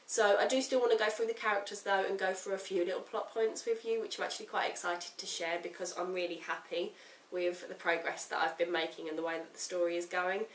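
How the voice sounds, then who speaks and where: Woman, croaky with a cold, casual rambling vlogger; roomy, off-mic sound. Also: fairly low pitch, steady tone, fast, distant mic. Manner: rambling, talks straight to the camera. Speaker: writing vlogger. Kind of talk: casual chatty vlog update. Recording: roomy echo, off-mic, faint hiss.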